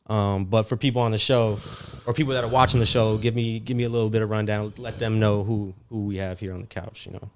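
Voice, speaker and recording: A man's voice: on the low side, steady tone, quick pace, a little hiss or hum. A man hosting a podcast, asking questions in an easygoing, loose conversational way. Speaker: podcast host. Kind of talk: casual interview chat.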